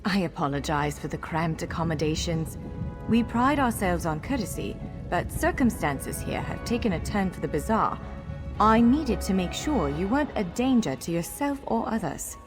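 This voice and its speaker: A woman's voice: fairly low pitch, lively intonation, normal speed, close to an everyday voice. A woman playing a courteous, wry elf scholar guiding someone in a video game, articulate and formal yet playful. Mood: calm, wary. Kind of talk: video game character dialogue. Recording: long hall reverb over music.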